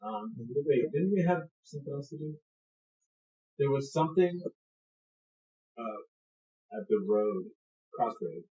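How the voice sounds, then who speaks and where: Echoey dull room audio, higher voiced guy chatting casually at a game table. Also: lively intonation, unhurried, long pauses, dark tone.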